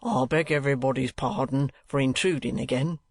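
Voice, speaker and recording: A man's voice: medium pitch, a little fast, no room sound, natural voice. A man doing a nosy, gossiping lodger. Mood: gossipy.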